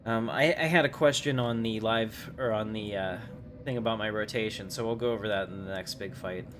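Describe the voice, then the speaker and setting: A man's voice: on the low side, a little fast. A man giving casual, chatty game livestream commentary, rambling along in slangy talk. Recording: clearly noisy livestream audio.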